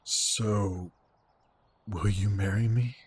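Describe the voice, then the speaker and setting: A man playing an earnest, tender young groom who speaks haltingly and sincerely in an audio drama. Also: lowish voice, steady tone, husky, heavily acted. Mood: tender, nervous.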